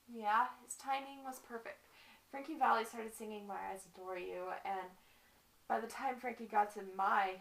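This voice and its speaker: A young woman performing a dramatic monologue as a lovestruck battered wife, halting and confessional, on a distant mic. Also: mid-range voice, creaky, natural voice. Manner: halting, confessional, repeats phrases. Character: lovestruck, battered wife recalling her violent husband.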